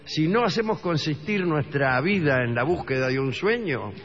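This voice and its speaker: A man's voice: on the high side, lively intonation, moderate pace. A middle-aged man with an Argentine Rioplatense accent, hosting a radio show and telling a comic story in a wry tone, slipping into other voices for the characters. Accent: Rioplatense Spanish. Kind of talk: humorous radio monologue with banter. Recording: dull radio broadcast with long reverb.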